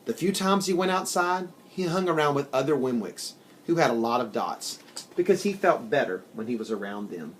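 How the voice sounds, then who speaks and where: A man reading a children's story aloud in an expressive, deliberate storytelling manner. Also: medium pitch, a little hiss or hum. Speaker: story reader. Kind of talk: scripted children's storybook narration.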